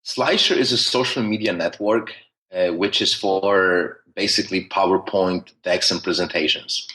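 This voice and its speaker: Polish-accented man, low voice. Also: normal speed, pushed and bright, poor audio. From Poland. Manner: explains at length with frequent restarts and fillers. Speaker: podcast guest. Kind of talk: conversational interview.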